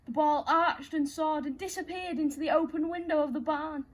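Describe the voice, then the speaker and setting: Female children's storytime host, high-pitched, theatrical and playful storyteller. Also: steady tone, normal speed. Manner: playful, theatrical, addresses young listeners directly. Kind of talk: animated children's story reading.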